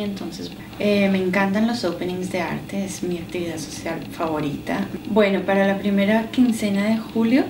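A thirty-something woman with a Colombian accent gives interview answers in a slightly low voice. She moves in short phrases with hesitant pauses. The recording is roomy, with clear noise behind her. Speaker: artist and designer being interviewed. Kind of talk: interview answers about her own life and work. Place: indoors in a penthouse. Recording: roomy, distant mic, clear background noise.

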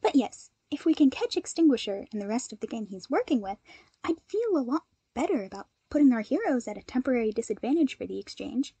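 An earnest, clever young man in an audio story, voiced by a woman, eager and cheerful. The voice is highish and lightly animated, with a mellow tone in a dry recording. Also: brisk, slightly rough, dry recording, exaggerated voice. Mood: wry, animated. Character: earnest, cheerful, analytical young man, teasing agency secretary.

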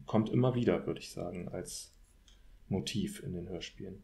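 A man speaking as an expert guest, analysing in deliberate, academic phrasing. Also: low-pitched, creaky, dark tone. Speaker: guest expert, political scientist. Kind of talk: analytical discussion.

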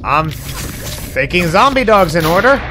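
A man's voice: high-pitched, sing-song, a little slow, noisy background. A young man with a cold, coughing now and then, chatting casually as he hosts a game playthrough. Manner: chatty, reacts loudly to surprises. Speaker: let's play host. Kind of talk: casual gameplay commentary.

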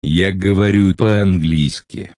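A man slowly reading out short phrases for a language lesson, with careful, deliberate pronunciation. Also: low voice, steady tone, warm tone, dry recording, clean studio sound. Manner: slow, deliberate enunciation. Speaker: Russian phrase reader. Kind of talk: slow scripted phrase reading.

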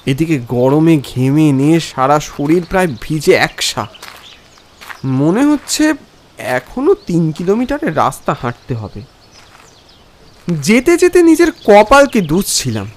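A man narrating a scripted first-person story in a dramatic, expressive way. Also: fairly high pitch, unhurried, long pauses, a little hiss or hum.

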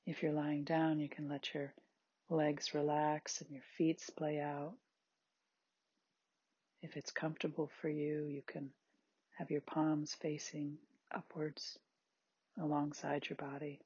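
A meditation guide with a husky, breathy, androgynous voice, giving gentle, unhurried body scan instructions in short spaced phrases. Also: mid-range voice, monotone, no echo, lo-fi sound. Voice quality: very husky, breathy, at times near-whispered.

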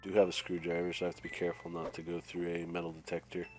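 Low, raspy-nosed gamer with a cold. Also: male voice, rapid-fire. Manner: relaxed, thinking aloud while playing. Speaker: gameplay commentator. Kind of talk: casual let's-play commentary. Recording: faint game music behind.

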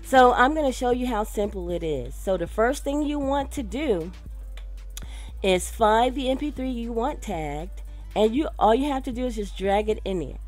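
A woman giving an informal, instructive software tutorial as a radio DJ, talking directly to her listeners. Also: a little high, lively intonation, normal speed, soft background music. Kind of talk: casual screen-recorded tutorial. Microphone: voice-over for a screen recording.

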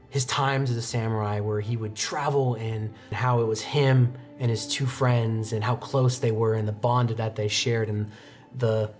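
A young man improvising descriptive tabletop roleplay narration as game master, halting now and then to find his words. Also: medium pitch. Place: indoor studio set, seated at a desk. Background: music.

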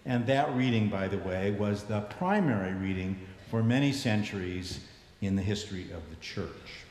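A man in his sixties, a bishop giving a measured, reflective sermon in a large church sanctuary, his voice falling low at the ends of phrases. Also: animated, a little mellow, a little hiss or hum. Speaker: bishop preaching. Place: large church sanctuary, standing before the altar. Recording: echoey church with long reverb.

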